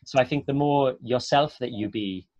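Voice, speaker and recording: A young man speaks with a Welsh accent in a relaxed, rambling way, full of fillers and restarts. He is on a video call through an earphone mic, so the sound is dry and a little dull. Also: medium pitch, moderate pace, no room sound. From Wales. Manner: chatty, many fillers and restarts. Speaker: actor, guest speaker. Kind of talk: casual video-call interview.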